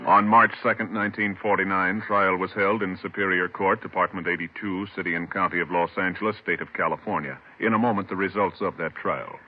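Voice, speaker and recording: Formal, lowish vintage radio announcer. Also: male voice, fairly even intonation, fairly quick, few pauses. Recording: dull old radio recording, music behind.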